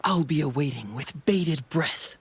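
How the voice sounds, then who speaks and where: A woman's voice: deep voice, lively intonation, a little slow, slightly rough, dry recording, full character voice. A woman voicing a confident young investigator in an anime video game, composed but playfully theatrical and teasing.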